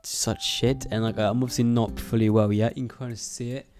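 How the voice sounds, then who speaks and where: A young man with a stuffy nose from a cold, rambling casually to camera about moving into his student flat, swearing often and laughing between thoughts. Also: mid-range voice, brisk, faint music behind. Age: young adult. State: congested from a cold. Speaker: YouTuber. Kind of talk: casual vlog chat to camera.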